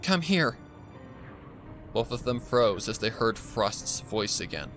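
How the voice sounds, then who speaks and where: A man reading a scripted horror story as narrator in a deliberate storytelling manner. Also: lowish voice, a bit bright, faint music behind.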